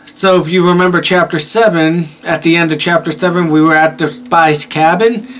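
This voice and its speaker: A man's voice: on the high side, steady tone, normal speed, fairly bright tone, soft background music. A man hosting a horror game video with informal, rambling commentary, swearing freely. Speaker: gaming video host.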